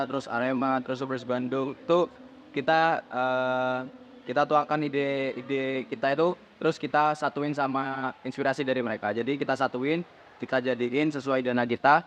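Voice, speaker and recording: A man's voice: mid-range voice, fairly even intonation, moderate pace. A teenage young man, answering casual interview questions in a plain, step-by-step explanatory way. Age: teens. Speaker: podcast guest, student supporters' club leader. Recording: dull lo-fi audio, faint background noise.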